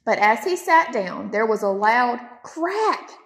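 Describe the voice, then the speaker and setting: A woman's voice: fairly high pitch, big pitch swings, slow, a bit bright. A woman reading a children's picture book aloud as a storytime narrator. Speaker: storytime reader.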